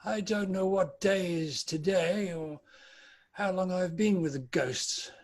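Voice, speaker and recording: A man acts out an old British miser in a dramatic reading. The voice sits high for a man. He is anguished and repentant, with a theatrical, pleading delivery. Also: slow pace. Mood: joyful. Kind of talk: dramatic table read.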